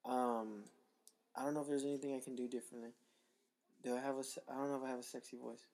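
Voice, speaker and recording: Casual raspy-voiced podcast host man. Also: medium pitch, level tone, quick pace, a little mellow. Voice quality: slightly raspy. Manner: laughing, joking, reads aloud.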